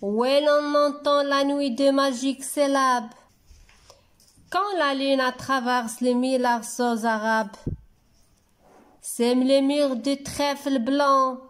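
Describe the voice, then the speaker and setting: A woman reciting a poem as a teacher would for pupils, in a measured, deliberate way, phrase by phrase. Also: high-pitched. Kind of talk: slow poetry recitation for dictation and reading lesson.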